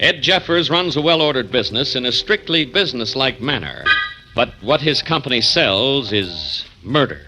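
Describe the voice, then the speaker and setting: A man's voice: mid-range voice, lively intonation, moderate pace, rough recording. A man delivering scripted narration as a 1940s radio announcer, on an old radio recording. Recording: old radio recording, loud music behind.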